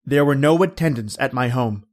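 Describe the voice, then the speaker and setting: A man playing a cold, cunning, vengeful nobleman who narrates a horror tale in a theatrical, deliberate, formal way. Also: mid-range voice, natural voice. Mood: sly, controlled. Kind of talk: dramatic horror storytelling in old radio manner.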